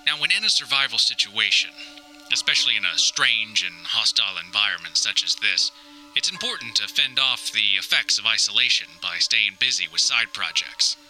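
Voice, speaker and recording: A man performs a theatrical, self-serious grown son keeping a survival diary while trapped indoors. The voice is lowish and very bright, with a forceful edge. He speaks in grand, formal phrases like a stranded explorer in an audio drama, with music behind him. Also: animated, normal speed, low-quality recording. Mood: earnest, instructive. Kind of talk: scripted audio diary monologue, mock-survivalist narration.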